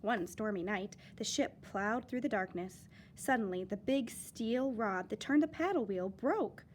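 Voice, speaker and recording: Expressive woman reading a picture book. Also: medium pitch, measured pace, slight background noise. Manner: expressive, lively storytelling with dramatic emphasis. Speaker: teacher reading aloud. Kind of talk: children's picture book read-aloud.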